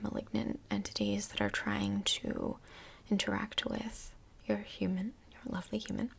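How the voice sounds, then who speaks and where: A woman acting as a calm female counsellor from Hell in a soft-spoken ASMR role play. Her voice is breathy and half-whispered, with heavy vocal fry. She picks her words gently and tactfully, pausing as she goes. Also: medium pitch, exaggerated voice. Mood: gently coaxing. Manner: gentle, hesitant, diplomatic therapist talk.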